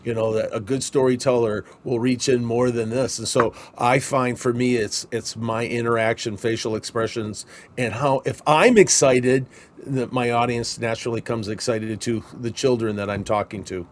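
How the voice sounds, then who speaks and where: A man's voice: medium pitch, steady tone, normal speed. A man co-hosting a casual instructional discussion, thinking aloud in a loose, conversational way. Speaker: podcast co-host and Christmas performer.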